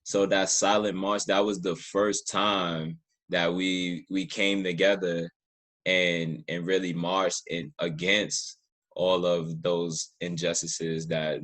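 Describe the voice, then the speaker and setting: A man's voice: deep voice, a bit bright. A man hosting a casual interview, talking in an earnest, informal way and often repeating himself. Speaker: podcast host interviewing a guest.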